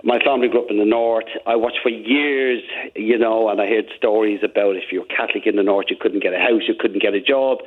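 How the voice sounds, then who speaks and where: A man's voice: on the low side, fairly even intonation, fairly quick, hardly any pauses. An Irish man calling a radio phone-in, talking in an impassioned, rambling way with frequent restarts. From Ireland. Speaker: caller. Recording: tinny phone line.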